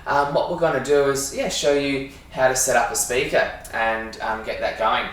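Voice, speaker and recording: Aussie guy doing a casual how-to, slow and halting, far from mic, super echoey room. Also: mid-range voice, fairly even intonation, fairly bright tone. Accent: Australian. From Australia. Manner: informal, halting, talking while working with hands. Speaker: sound engineer giving a demonstration. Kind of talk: casual hands-on tutorial. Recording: echoey room, distant mic, faint noise.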